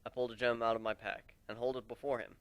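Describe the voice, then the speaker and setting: A man narrating a dramatic first-person story in an expressive, conversational way. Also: mid-range voice, fast talker, clear, resonant tone, dry recording.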